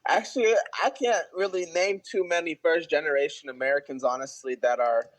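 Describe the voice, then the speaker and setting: A man's voice: on the high side, fairly quick, poor audio. A young man co-hosting a podcast, explaining his view in a casual, earnest way and repeating words as he goes. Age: young adult. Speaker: podcast co-host. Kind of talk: casual discussion.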